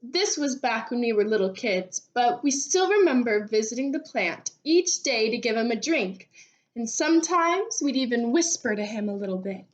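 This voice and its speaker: A woman reading a children's storybook aloud expressively, with animated delivery and drawn-out phrase endings. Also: fairly high pitch. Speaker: read-aloud storybook reader. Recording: dull, lo-fi sound.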